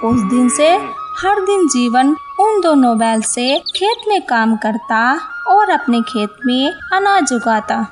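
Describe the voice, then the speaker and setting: A woman reads a scripted children's tale as narrator. Her voice is high and her delivery unhurried. Music plays clearly underneath. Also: big pitch swings.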